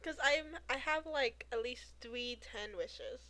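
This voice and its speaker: A woman's voice: fairly high pitch, slow pace, sharp tone. A woman game streamer chatting casually in a giggly, rambling way. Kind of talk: casual chat while live streaming.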